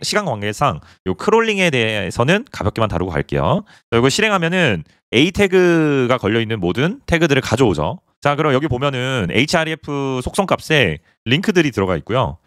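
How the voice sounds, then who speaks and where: A man talks through a coding tutorial at a brisk clip, pointing at items on his screen as he goes. His voice moves up and down in a lively way. The sound is dry and close, from a small quiet room. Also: medium pitch, no echo. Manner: explains step by step, pointing things out on screen. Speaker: programming instructor.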